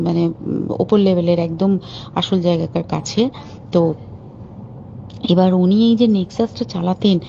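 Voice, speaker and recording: A woman's voice: mid-range voice, creaky, dark tone. A woman on a private phone call, talking informally and urgently with open indignation. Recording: muffled phone call recording with faint hiss.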